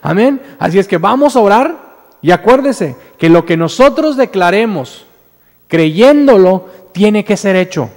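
A man's voice: high voice, lively intonation, a little slow, darkish tone. A Mexican man in his thirties preaching a sermon at a pulpit mic, telling a story earnestly with many repeated words and restarts, then rising into emphatic declarations. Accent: Mexican Spanish. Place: church sanctuary with stage, indoors. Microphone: standing mic at pulpit. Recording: church hall reverb.

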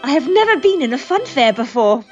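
A woman's voice: sits high, big pitch swings, fairly quick, a little mellow, soft background music. A woman playing a polite, naively curious newcomer in an audio drama, with formal, innocent delivery and a dull recording. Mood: innocent, eager.

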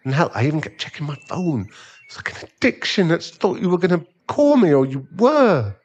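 A man's voice: fairly high pitch, wildly swooping pitch, brisk, darkish tone, highs cut off. A man playing a remorseful sugar-daddy boyfriend in an ASMR audio roleplay, hesitant and stammering as he earnestly pleads for forgiveness. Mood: sheepish, earnest.

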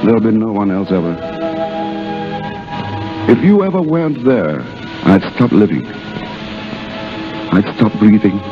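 An actor playing a boyish young Englishman in love, with halting declarations, in a 1930s radio drama. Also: fairly low pitch, big pitch swings. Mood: tender, playful. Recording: muffled 1930s radio broadcast with music.

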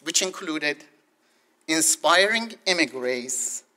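Slow, bright-voiced older academic man. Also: on the high side, expressive. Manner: slow, deliberate reading with measured pauses. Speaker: academic speaker. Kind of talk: reading a prepared scholarly talk. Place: university lecture hall, indoors. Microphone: podium microphone.

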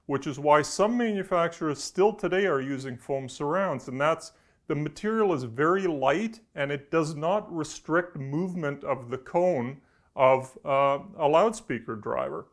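A middle-aged man, explaining informally to camera as an engineer, in a halting, conversational way with repeated words. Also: fairly high pitch. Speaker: audio engineer presenting. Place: indoor studio with backdrop.

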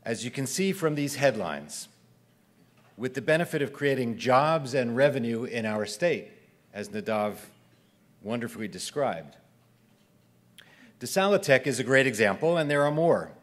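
A middle-aged man hosting a breakfast event, reading prepared remarks steadily from a podium. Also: mid-range voice. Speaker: event host. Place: event hall, indoors. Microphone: podium microphone.

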